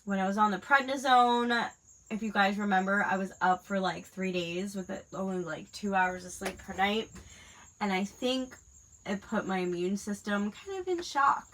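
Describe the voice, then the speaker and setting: Crafting host, woman in her 30s, stuffy nose from a cold, crisp bright tone, chatty and rambling. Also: mid-range voice, normal speed, low-quality recording. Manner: rambling, many fillers. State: congested from a cold. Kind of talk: casual chat while crafting.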